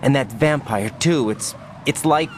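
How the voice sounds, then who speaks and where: A man's voice: medium pitch, measured pace, slight background noise, lightly acted. An actor playing an anxious young special operative in a video game, urgent and incredulous as he questions things. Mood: uneasy.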